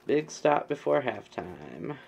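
A man's voice: mid-range voice, big pitch swings, takes it slowly, gravelly, darkish tone, slightly rough. A young adult man giving casual, joking gameplay commentary, often repeating his phrases over and over. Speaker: game streamer. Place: bedroom, indoors. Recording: dull, lo-fi webcam stream audio.

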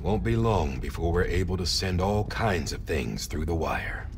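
An actor playing a commanding, earnest leader in a video game, briefing his porter in a deliberate, emphatic, authoritative way. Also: low voice, expressive, over music. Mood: assured, matter-of-fact. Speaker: mission commander. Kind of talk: cinematic video game exposition.

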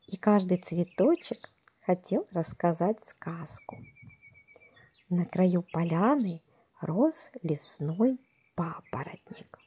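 A woman narrating a scripted fairy tale for children in a soft, breathy, gentle and unhurried storytelling manner. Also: lowish voice.

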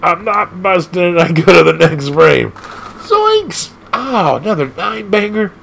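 Excitable man with a gravelly voice. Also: slow pace, slight background noise. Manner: excitable, laughs often, jumps between squeaky highs and low growls. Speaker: gaming channel host. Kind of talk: casual arcade gameplay commentary.

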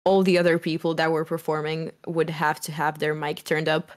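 Casual podcast guest, young woman, second-language english, lots of ums, pretty low voice. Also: flat delivery, normal speed. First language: not English. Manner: hesitant, many fillers.

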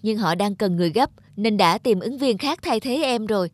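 A woman's voice: medium pitch, lively intonation, moderate pace, dry recording, clean studio sound, lightly acted. A woman voicing a kind, earnest young friend in a cartoon drama, reassuring.

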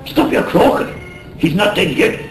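An actor playing a loyal, warm-hearted Irish seaman in a radio drama, with colourful turns of phrase. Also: sits high, animated, moderate pace, faint music behind. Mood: indignant. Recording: roomy, faintly noisy radio drama.

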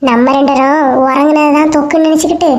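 A woman's voice: sits high, steady tone, fast talker, darkish tone. A woman with a Kanyakumari Tamil accent voicing cartoon characters in a comedy.

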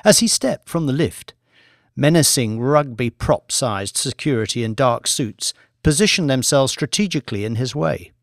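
A male narrator reads a thriller novel as an audiobook. His delivery is measured and dramatic, in the manner of scripted storytelling. The recording is close and dry, with very little room in it. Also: medium pitch, animated, gravelly, no echo.

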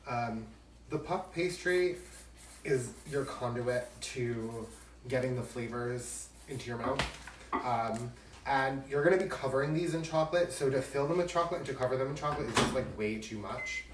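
A man's voice: mid-range voice, normal speed. A man hosting a casual live cooking demonstration, chatty and joking with campy asides. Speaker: cooking show host. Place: home kitchen, indoors. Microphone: camera a few steps away. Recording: echoey, distant, noisy kitchen with dish clatter.

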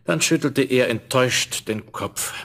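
A man narrating a scripted fairy tale in a measured, expressive storyteller's manner. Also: mid-range voice, slight background noise.

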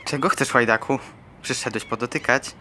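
A man's voice: medium pitch, moderate pace, bright, forceful tone, a little hiss or hum, lightly acted. A young man playing a haughty, mocking figure of envy in a radio play. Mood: scornful. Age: young adult. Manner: mocking, scornful. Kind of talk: amateur radio play acting.

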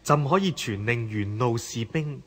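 Doting Chinese emperor. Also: male voice, medium pitch, expressive, unhurried. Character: doting, indulgent emperor of Tang China. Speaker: radio drama actor. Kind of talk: Cantonese historical radio drama acting.

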